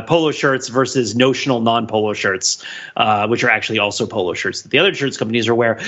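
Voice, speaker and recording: A man's voice: mid-range voice, bright, forceful tone, lo-fi sound. A man talking casually and analytically as a podcast panelist, working through his points in a discursive way. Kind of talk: casual pop culture analysis.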